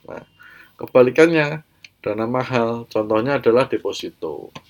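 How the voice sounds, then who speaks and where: A man's voice: medium pitch, animated, normal speed. An Indonesian man with a mild cold, presenting an informal, explanatory slide lecture and adding short check-in tags as he goes. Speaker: presenter of an educational banking channel.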